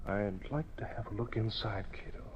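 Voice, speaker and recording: An actor playing a daring, confident masked vigilante in an old-time radio drama, brisk and commanding, on a muffled and noisy recording. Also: male voice, fairly low pitch, natural voice. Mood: intent.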